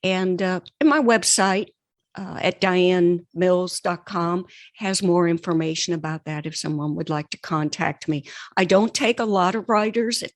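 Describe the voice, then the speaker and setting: A woman speaking as an author and mentor in an interview, earnest, deliberate and emphatic. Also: on the low side, no room sound, studio quality. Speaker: podcast guest, author and writing mentor. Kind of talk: conversational interview.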